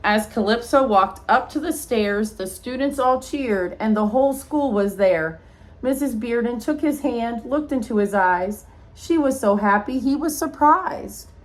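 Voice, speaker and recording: High-voiced woman reading a children's story aloud. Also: unhurried, rough recording. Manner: expressive storytelling, addressing children. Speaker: teacher reading a picture book aloud. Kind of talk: read-aloud of a rhyming children's story with asides to young listeners.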